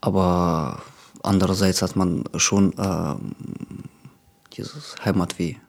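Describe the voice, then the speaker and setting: A man's voice: low voice, level tone, slow pace, gravelly. Hesitant young man. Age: 20s. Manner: hesitant, many fillers and restarts, reflective. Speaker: interviewee. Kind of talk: documentary interview.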